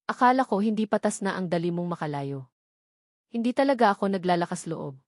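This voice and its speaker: A woman's voice: lowish voice, animated, a little fast, darkish tone, no room sound, studio quality, lightly acted. A woman reading the part of a jealous, cowardly male fighter in an audiobook story. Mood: frightened pleading.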